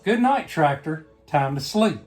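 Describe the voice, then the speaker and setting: A woman reading a picture book aloud to a young child, pausing to ask simple questions. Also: deep voice, expressive, a little mellow, faint music behind. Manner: simple questions and prompts addressed to a small child. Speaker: bedtime story reader.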